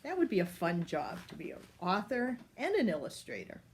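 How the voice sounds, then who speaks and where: An older woman reading a picture book aloud for children in an expressive, unhurried way. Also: lowish voice, creaky, a little hiss or hum. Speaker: storyteller.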